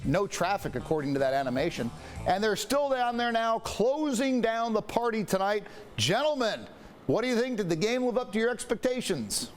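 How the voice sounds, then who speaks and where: An American man working as a sports anchor, narrating game highlights in a broadcast style. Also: animated, moderate pace, faint music behind.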